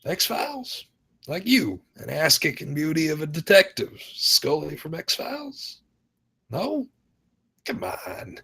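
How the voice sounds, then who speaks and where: A man's voice: fairly high pitch, wildly swooping pitch, slow, gravelly, sharp tone, husky, full character voice. An actor playing a giddy, affectionate, playfully flirtatious father in a film script table read. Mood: playful, teasing. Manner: playful, teasing.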